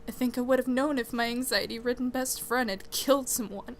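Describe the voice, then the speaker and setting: A woman's voice: on the high side, steady tone, fairly quick, slight background noise, natural voice. A woman playing an exasperated, fiercely loyal best friend in a naturalistic audio drama interview, blunt and emphatic. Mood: defensive, insistent.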